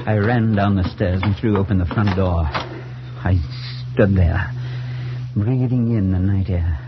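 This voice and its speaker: A grave priest with a very low voice, solemn and dramatic in the manner of old radio drama. He sounds like a concerned friend recounting something dreadful. The recording is muffled, with clear noise and hum. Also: unhurried. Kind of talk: 1940s radio acting and first-person narration. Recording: noisy band-limited 1940s radio recording with hum.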